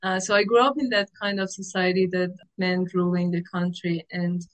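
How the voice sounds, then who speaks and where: A woman's voice: on the low side, little pitch movement, normal speed. Female podcast guest, Iranian accent. Informal, effusive, conversational delivery. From Iran. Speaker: podcast guest, activist artist. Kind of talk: casual conversational interview.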